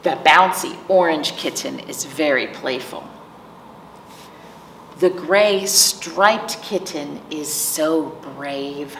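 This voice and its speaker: A woman's voice: low-pitched, slow pace, vocal fry, fairly bright tone. A woman hosting storytime, reading picture books aloud to young children with simple explanations and questions. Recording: roomy, distant mic, faint noise.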